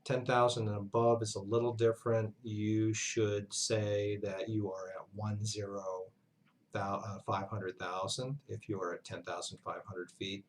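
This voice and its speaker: A man giving an instructional lesson as a flight instructor, speaking in a measured, halting way with pauses mid-sentence. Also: on the low side, little pitch movement, a little hiss or hum. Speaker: flight instructor, university lecturer. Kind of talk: instructional narration, explanatory lesson.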